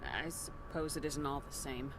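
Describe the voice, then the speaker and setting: An actress playing a composed, direct military space commander in a video game, firm and inquisitive. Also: female voice, extremely low pitch, animated, normal speed, background noise. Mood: probing. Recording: loud game music behind the voice.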